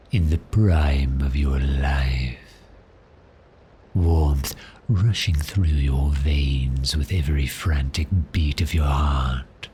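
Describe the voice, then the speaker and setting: Man playing a very deep, slow-talking suave vampire. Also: darkish tone, a little hiss or hum, heavily acted. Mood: seductive, hungry. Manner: slow, deliberate, seductive, taunting. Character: suave, predatory male vampire, cheerfully menacing and teasing. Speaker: audio roleplay performer. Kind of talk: ASMR roleplay acting.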